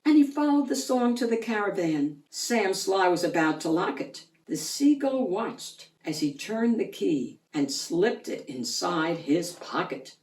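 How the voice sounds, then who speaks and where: A woman reading a children's picture book aloud in an expressive, theatrical storytelling manner. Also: lowish voice, measured pace, few pauses. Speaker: children's book reader and channel host. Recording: roomy echo, distant microphone.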